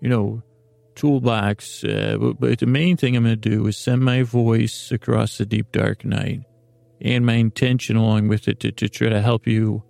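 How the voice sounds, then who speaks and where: Male sleep-podcast host: gravelly fry, dry close sound, lulling, halting, meandering delivery. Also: fairly low pitch, steady tone, a little mellow, dry recording. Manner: meandering, halting, with pauses and repeated words; soothing, lulling. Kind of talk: rambling bedtime monologue to lull listeners to sleep.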